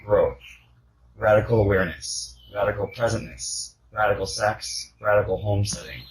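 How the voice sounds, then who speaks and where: A man's voice: on the low side, level tone, normal speed, rough recording. A man leaving a casual voice message, talking in a rambling, dreamy and candid way.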